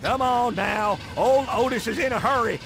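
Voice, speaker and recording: An actor playing a crazed, paranoid old farmer with a rural American accent and folksy, bombastic delivery in a video game. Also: male voice, very high voice, normal speed, vocal fry, full character voice. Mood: grumbling. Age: older. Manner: ranting, folksy, preacher-like bombast. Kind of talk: video game cutscene acting. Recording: loud music behind the voice.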